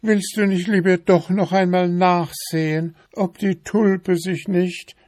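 A man putting on a shrill, preachy beetle wife from a storybook, pitched far up and pressing hard. She nags on and on with insistent questions, in a very dry recording. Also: flat delivery, takes it slowly, a little mellow, no echo. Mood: nagging.

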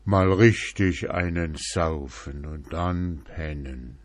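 A man voicing a dim, boozy ox with a slow drawl in a storybook. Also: very deep voice, dry recording. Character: boozy dim ox who later gets ashamed.

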